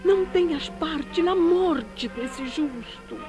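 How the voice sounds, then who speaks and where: An actress playing a governor's wife, giving a solemn, imploring warning in a religious drama. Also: female voice, high-pitched, slow, a little mellow, soft background music, poor audio. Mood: pleading, solemn. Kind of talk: theatrical religious audio drama.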